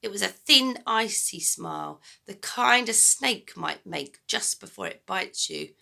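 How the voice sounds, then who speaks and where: A woman's voice: mid-range voice, animated, unhurried, vocal fry, sharp tone. A woman reading a children's storybook aloud expressively, as a teacher would to pupils.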